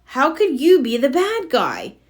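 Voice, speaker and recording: An earnest, quippy young superhero played by a woman reading a storybook. The pitch sits high and swings about with plucky, exclamatory energy. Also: wildly swooping pitch, measured pace, heavily acted. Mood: startled. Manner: emphatic, exclamatory. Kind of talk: children's storybook read-aloud.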